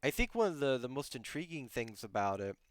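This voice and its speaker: A man's voice: medium pitch, big pitch swings, brisk. A man hosting a live-streamed podcast interview, rambling casually with many restarts and frequent laughs. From outside Germany. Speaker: podcast host.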